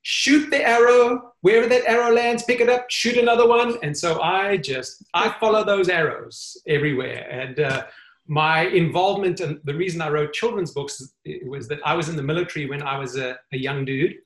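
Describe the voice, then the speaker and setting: A man, a guest on an online interview show, telling personal stories earnestly with many pauses and fillers. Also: on the high side, fairly bright tone, reverberant. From South Africa. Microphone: video call.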